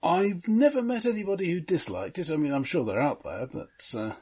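A man's voice: sits high, lively intonation, fairly quick, darkish tone, husky. A man co-hosting a podcast, discussing film casually and stammering over the starts of his phrases. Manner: stammering, repeating word starts. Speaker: podcast co-host.

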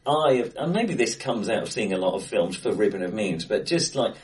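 A man co-hosting a film discussion in casual chat, hesitant and often rewording himself, recorded in a bright, echoey room. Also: fairly low pitch, very animated, brisk. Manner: hesitant, self-correcting, rambling. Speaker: film podcast co-host. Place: indoors in an acoustically bright room.

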